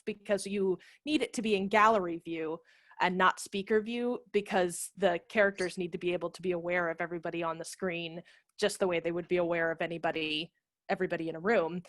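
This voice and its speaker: A woman in her thirties giving informal practical instruction on a video call, explaining with quick asides. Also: fairly low pitch, fairly bright tone, no echo. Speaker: theatre director and workshop presenter. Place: home room with brick wall, video call. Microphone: headset microphone.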